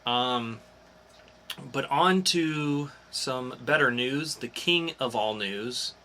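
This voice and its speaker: A man's voice: medium pitch, takes it slowly, sharp tone, a little hiss or hum. A man hosting a podcast, talking casually about geek news in an informal, explanatory way with some swearing. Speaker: podcast host.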